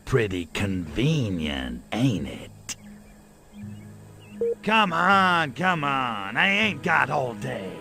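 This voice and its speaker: Cocky video game alien: gravelly and forceful, slow wisecracking drawl, sarcastic, quick to threaten. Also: male voice, mid-range voice, wildly swooping pitch, music in the background, heavily acted. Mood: impatient. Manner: brash, wisecracking, slangy. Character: cocky, sarcastic, short-tempered little alien invader. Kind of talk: video game cutscene acting.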